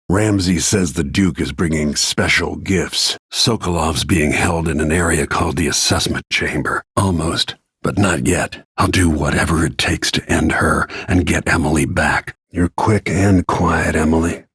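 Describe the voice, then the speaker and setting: A man voicing a grim, battle-worn middle-aged royal protector and assassin in a video game, with terse, brooding, measured delivery and a gravelly, husky voice. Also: sits low, steady tone, fairly bright tone, no echo, studio quality, exaggerated voice. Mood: grim, resolute. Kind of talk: scripted video game character lines.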